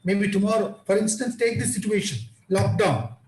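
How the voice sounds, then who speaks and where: A man's voice: high-pitched, normal speed, a little mellow, echoey room, slight background noise. A man with an Indian English accent, explaining teaching methods in an online conference talk and answering questions in a patient, repetitive way. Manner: explanatory, repeats parallel phrases. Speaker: guest lecturer.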